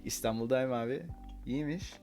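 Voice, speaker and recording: A man's voice: mid-range voice, brisk, over music. A young man from the United States hosting a live stream, chatting casually with viewers, laughing often and dropping into Turkish now and then. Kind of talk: casual chat with chat readers.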